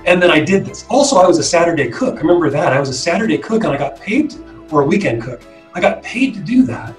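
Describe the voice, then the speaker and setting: A man's voice: on the high side, lively intonation, fast. Distant, roomy middle-aged American man, rambling. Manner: rambling, hedging with frequent "you know". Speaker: interviewee, former student co-op member. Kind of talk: casual reminiscing interview. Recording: roomy, distant mic, music behind.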